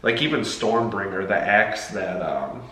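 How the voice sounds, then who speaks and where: A man's voice: lowish voice, a little slow, a bit bright. A man in a casual movie chat, talking in a rambling, explanatory way as a co-host. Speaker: family vlog co-host. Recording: roomy echo, clear background noise.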